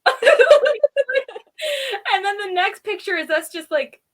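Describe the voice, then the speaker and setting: A woman co-hosting a casual review, recapping in a chatty, rambling, giggly way with animated storytelling. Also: very high voice, slow pace. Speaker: review show host.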